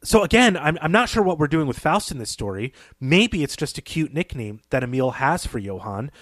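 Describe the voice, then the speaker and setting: A man's voice: fairly high pitch, sing-song, brisk, fairly bright tone, no echo. A man co-hosting a podcast, offering thoughtful, conversational literary analysis. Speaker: podcast co-host.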